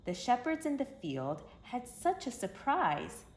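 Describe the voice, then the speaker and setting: A woman reading a children's story aloud in an expressive, emphatic storytelling manner. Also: a little high, unhurried. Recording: roomy echo with background hiss.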